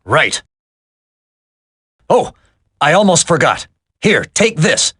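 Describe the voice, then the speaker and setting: An actor playing a stressed, brainy computer geek on a military team in a video game. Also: male voice, on the high side, big pitch swings, slow pace, sharp tone, slightly rough, no echo, studio quality, full character voice. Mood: businesslike. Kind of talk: dramatic video game voice acting.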